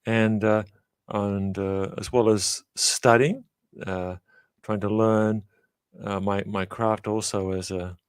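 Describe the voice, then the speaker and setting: Man, from Australia, middle-aged, low voice, dry sound, measured, many fillers. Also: fairly even intonation, clean tone, no echo. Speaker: guest, professor. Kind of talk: reflective interview answers.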